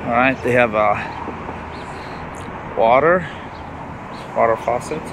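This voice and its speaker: Man vlogging on a noisy street. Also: medium pitch. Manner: rambling, halting, with ungrammatical phrasing. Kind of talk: walking street vlog commentary. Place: city sidewalks outdoors. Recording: outdoor street noise.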